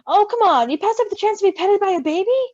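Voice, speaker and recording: Woman as a high, playful teasing guy. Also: expressive, fast, no echo, dull audio. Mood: teasing, delighted. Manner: bright exclaiming, informal. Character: playful, teasing young man, doting on a baby.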